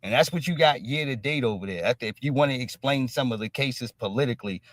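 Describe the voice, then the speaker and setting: A man's voice: mid-range voice, fast, fairly bright tone, no room sound. A man hosting a stream, ranting in emphatic commentary and repeating "all right?" after nearly every phrase. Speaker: streamer host.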